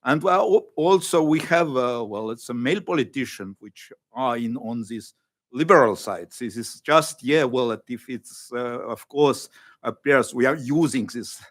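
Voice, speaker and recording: A male academic panelist answering a question, pausing often and searching for words with many fillers. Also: mid-range voice. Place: conference panel room.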